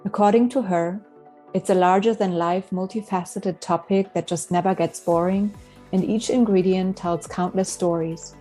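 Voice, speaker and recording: A woman's voice: fairly low pitch, fairly even intonation, soft background music. Woman podcast host. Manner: measured, reads guest intro, then soft affirming mm-hmms. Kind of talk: scripted introduction and interview questions.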